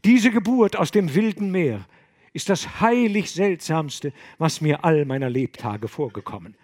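A man reading an audiobook, voicing a pious, excitable old abbot with solemn, fervent exclamations. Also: high voice, slow pace, no room sound, clean studio sound, voice pushed up, heavily acted. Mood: awed. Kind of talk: audiobook character voice.

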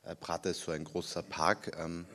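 A man in his thirties from Austria with a Viennese accent, an author answering questions at a library reading, relaxed and halting with many fillers. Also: low-pitched. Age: 30s. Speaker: author guest. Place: city library event room.

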